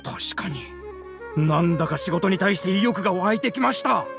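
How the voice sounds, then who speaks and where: A man's voice: high voice, lively intonation, normal speed, vocal fry, music in the background. Eager, earnest craftsman villager. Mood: enlightened, eager. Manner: polite, eager. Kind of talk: Japanese anime game voice acting.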